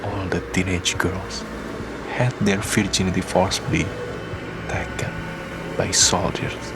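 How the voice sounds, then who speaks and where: A man narrating a scripted radio drama in a solemn, measured storytelling manner. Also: low voice, flat delivery, creaky, background noise.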